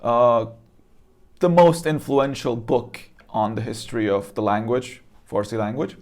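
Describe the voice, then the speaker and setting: A man's voice: medium pitch, unhurried, a little mellow. Casual man explaining things. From Iran. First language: Persian. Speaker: presenter. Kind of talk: casual explanatory talk to viewers.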